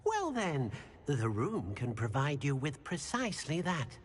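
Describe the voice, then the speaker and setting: House elf servant, video game character, polite, eager, animated intonation, deferential third-person speech, long reverb. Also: male voice, on the high side, a little slow. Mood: helpful, gentle. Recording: long hall reverb, faint background noise.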